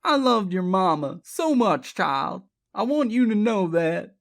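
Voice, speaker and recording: A woman's voice: mid-range voice, big pitch swings, a little slow. A woman voicing an anguished, guilt-ridden elderly grandmother in an audiobook, pleading emotionally yet firm. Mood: tender grief.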